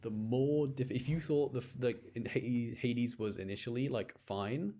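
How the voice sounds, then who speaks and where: A man's voice: medium pitch, normal speed. A man giving casual live commentary as he streams a video game, exclaiming and repeating words to himself under pressure. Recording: music behind the voice. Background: loud game music.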